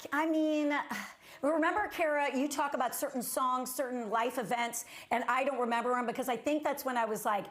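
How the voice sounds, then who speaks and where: A woman's voice: sits high, steady tone, a little fast. A woman anchor co-hosting in casual chat, with an effusive, exclamatory manner. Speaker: TV anchor and co-host.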